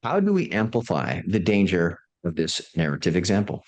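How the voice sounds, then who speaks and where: A man's voice: deep voice, huge pitch swings, normal speed. A man hosting a discussion and reading out questions informally, hesitant and often stumbling over his words. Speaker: host and moderator.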